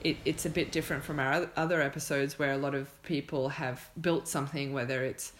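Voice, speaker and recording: A podcast host with a mid-pitched voice speaks casually, as in a relaxed conversation. The delivery is informal and reflective, with thoughts worked out aloud. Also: a little fast.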